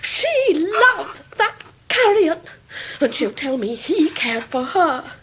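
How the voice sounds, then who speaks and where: An actress playing a scornful upper-class English Victorian lady in a radio drama, with a breathy, biting, theatrical delivery. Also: high-pitched, big pitch swings, takes it slowly, a bit bright, a little hiss or hum, exaggerated voice. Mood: outraged fury. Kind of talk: 1990s radio drama acting.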